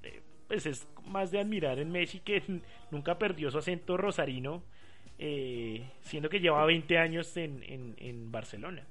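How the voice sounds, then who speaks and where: A man talking football casually with co-hosts, chatty and quick to laugh. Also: on the high side, a bit bright. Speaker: sports talk host. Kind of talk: casual sports chat.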